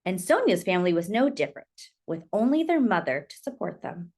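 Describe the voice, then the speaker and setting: Animated woman reading a children's story. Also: on the low side, breathy edge. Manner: animated, deliberate storytelling with dramatic emphasis. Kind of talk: expressive read-aloud of a children's picture book.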